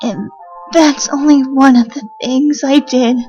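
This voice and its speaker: An earnest child played by a woman speaks in a high voice, slowly and solemnly, pausing between phrases. Music runs underneath the dramatic fan dub. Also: fairly even intonation, a little mellow, highs cut off. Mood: solemn confession.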